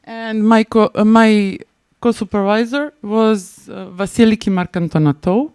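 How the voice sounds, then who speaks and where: Clear-voiced Serbian-accented woman presenting, haltingly. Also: medium pitch, a little mellow, dry recording, studio quality. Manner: hesitant, halting, repeats and restarts phrases. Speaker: conference presenter, maritime spatial planner. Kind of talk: prepared conference presentation.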